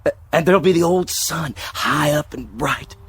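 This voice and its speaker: A man's voice: a little high, moderate pace, fairly bright tone, husky, no room sound. An actor playing a nervous townsman who rambles and stammers while insisting the darkness will lift, over background music.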